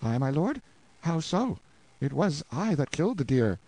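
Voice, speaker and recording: Male narrator as a steadfast peasant husband, solemn, a touch higher and mellow. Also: sing-song, normal speed. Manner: firm, solemn archaic speech. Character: tortured stoic peasant husband, proud and steadfast.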